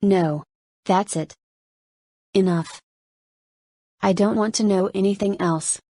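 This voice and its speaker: A woman's voice: mid-range voice, fairly even intonation, slow pace, mellow. A woman playing an unsettled girlfriend in an audio play, firm and uneasy.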